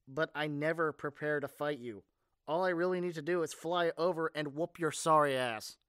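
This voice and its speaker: A man's voice: a little high, normal speed, fairly bright tone, clear, resonant tone, no echo, studio quality, close to an everyday voice. A man playing a caring but cocky young superhero in an audio roleplay, speaking in an earnest, casual, teasing way. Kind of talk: amateur ASMR audio roleplay.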